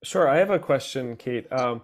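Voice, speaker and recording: A man with an average-pitched voice speaks in a conversational, questioning way. He is halting and searches for his words as he explains. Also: expressive. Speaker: choir teacher attending the session.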